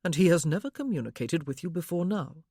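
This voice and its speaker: A woman voicing a protective blind gentleman who questions in a steady, dry manner. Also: on the low side, fast talker, husky, no room sound. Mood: probing.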